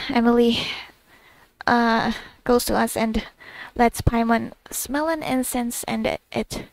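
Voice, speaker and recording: A woman gamer giving a casual, halting, rambling recap over her gameplay. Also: fairly high pitch, creaky, no room sound, low-quality recording. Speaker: gamer recording her playthrough.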